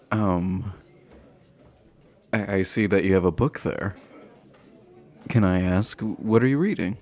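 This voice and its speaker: Man playing a deep-voiced flirty himbo jock. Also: a little mellow. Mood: friendly, nervous. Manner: halting, bashful chuckling, teasing. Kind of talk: romantic roleplay audio acting, addressed to listener.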